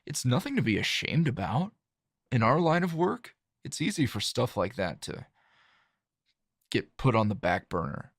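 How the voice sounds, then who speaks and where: A man playing a calm, dry, teasing hero and coworker in an intimate ASMR roleplay, talking in a low-key, halting, conversational way. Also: breathy edge, no room sound. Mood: concerned, gentle.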